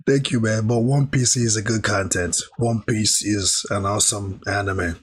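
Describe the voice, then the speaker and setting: A man with a hoarse, recovering voice, chatting through a live anime reaction stream and thanking viewers in the chat. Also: lowish voice, fairly even intonation, normal speed.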